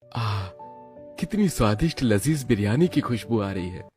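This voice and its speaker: A man's voice: lowish voice, lively intonation, normal speed, darkish tone, soft background music, natural voice. A man voicing a poor, worried labourer and father in a cartoon moral story, plaintive and earnest. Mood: wistful. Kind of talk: Hindi animated moral story acting.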